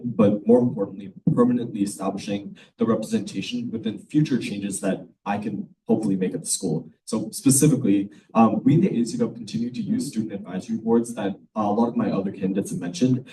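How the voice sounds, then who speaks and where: A man's voice: fairly low pitch, mellow. A young man, a first-year student, giving an earnest campaign speech at a student forum with many ums and uhs. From California. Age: young adult. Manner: earnest, filler-heavy public speaking. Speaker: student election candidate. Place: room at a public forum. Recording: voice from far off the mic.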